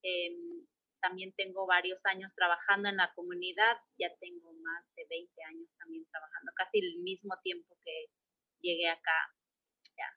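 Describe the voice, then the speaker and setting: A woman's voice: fairly low pitch, level tone, normal speed, muffled recording. A middle-aged woman from the State of Mexico, a guest answering questions hesitantly, with many fillers and restarts. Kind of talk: conversational interview answers.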